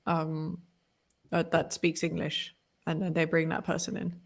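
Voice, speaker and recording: A woman's voice: deep voice, monotone, measured pace, vocal fry. A woman who speaks Malay as a first language, co-hosting a podcast in a casual, opinionated chat full of restarts. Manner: talkative, opinionated, many restarts. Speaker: podcast co-host.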